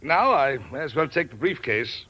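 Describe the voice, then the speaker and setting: An actor playing a polite, formal gentleman villain in a 1940s radio drama. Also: on the high side, expressive, moderate pace. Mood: grateful, courtly. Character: courtly foreign gentleman, secretly a smooth villain. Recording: noisy vintage radio recording.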